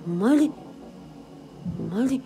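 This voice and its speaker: A woman playing an excitable, easily flustered eccentric guru in a radio drama, exclamatory and stammering. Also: on the high side, drawn-out words, over loud music, full character voice. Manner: exclamatory, stammering when astonished.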